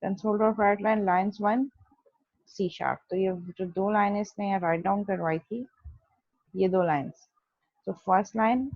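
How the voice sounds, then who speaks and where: A woman's voice: medium pitch, creaky, no room sound, highs cut off. A woman teaching programming in an explanatory, step-by-step way, often asking a question and then answering it herself, mixing in English technical terms. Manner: didactic, step-by-step, poses and answers own questions. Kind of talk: explanatory online coding lesson, Hindi-Urdu mixed with English terms.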